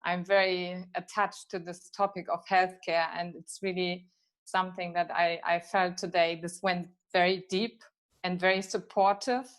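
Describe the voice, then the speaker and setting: A woman with a lowish voice and a flat, even delivery talks on a video call. She often hesitates and searches for words. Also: normal speed.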